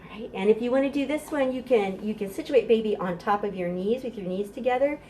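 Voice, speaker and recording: A woman's voice: on the high side, fast, mellow, a little hiss or hum, rough recording. A middle-aged American woman leading a storytime for babies as a children's librarian, giving instructions and reciting rhymes in a sing-song, encouraging way.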